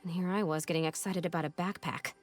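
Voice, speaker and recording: A woman playing a wry, earnest, easily flustered young heroine in an animated comedy game. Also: fairly low pitch, fast, faint music behind, close to an everyday voice. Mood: casual, determined.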